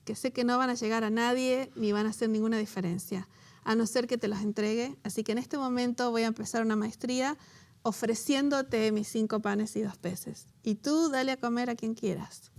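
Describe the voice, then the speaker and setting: A woman from Latin America leading a conversational Bible teaching, animated and quick to laugh, turning emotional over a personal story. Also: on the high side, gravelly. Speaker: co-host of a Bible study show.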